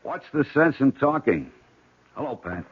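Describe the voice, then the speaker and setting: An actor with an American accent playing a tough, practical ex-agent in an old-time radio drama, talking in clipped, slangy commands. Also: male voice, mid-range voice, very animated, a little slow, breathy edge, exaggerated voice. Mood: casual. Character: tough, practical male ex-Secret Service man of action. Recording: muffled radio broadcast with music behind.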